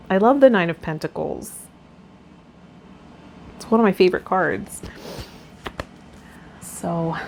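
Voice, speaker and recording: A woman hosting a tarot vlog, talking in a gentle, encouraging way as she reads and explains. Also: medium pitch, a little hiss or hum. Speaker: tarot reader and channel host. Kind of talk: reading aloud and casual spiritual vlog talk.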